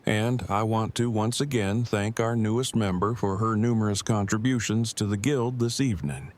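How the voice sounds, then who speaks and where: A man's voice: lowish voice, moderate pace, lightly acted. A man playing a polite, pedantic man with formal, wordy speech in an audio drama. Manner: formal, verbose, precise wording. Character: polite, pedantic, formal-spoken man, easily embarrassed game master. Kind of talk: scripted audio drama acting.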